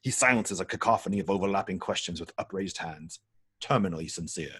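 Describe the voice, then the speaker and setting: A man narrates a screenplay, reading out scene headings and stage directions. His voice is very low and husky, captured close and dry. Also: brisk, fairly bright tone, no room sound. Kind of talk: scripted narration at a table read.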